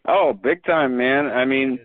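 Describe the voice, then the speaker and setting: A man's voice: medium pitch, a little slow, no echo. A man talking as a podcast guest, earnest and emphatic, often restarting his sentences. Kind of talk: casual conversation. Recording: dry phone-like line.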